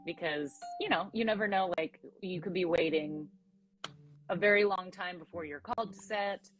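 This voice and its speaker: A young woman with a raspy voice, chatting casually as an actress guest in an interview and filling her talk with "like". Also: on the low side, moderate pace, highs cut off, faint music behind. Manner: chatty, many "like" fillers.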